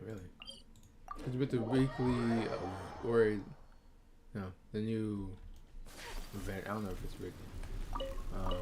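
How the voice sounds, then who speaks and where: A man streaming a video game, thinking aloud in casual, rambling commentary full of fillers and hesitations. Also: fairly low pitch. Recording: noisy background ambience.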